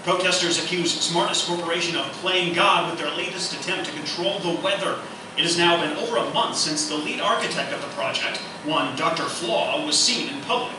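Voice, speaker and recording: A man's voice: on the high side, a little fast, natural voice. A man playing a formal TV news anchor in a live radio theatre play. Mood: formal, measured. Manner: formal announcer delivery. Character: male TV news anchor, formal, flustered by breaking news. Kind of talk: scripted newscast parody in live radio theatre. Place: live stage performance in echoey room. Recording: echoey hall, distant mic, noisy.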